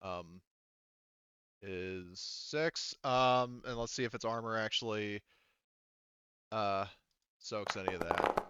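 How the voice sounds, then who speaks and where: A man acting as game master, narrating play and rules casually in a halting, thinking-aloud way. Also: on the low side, pushed and bright, smooth.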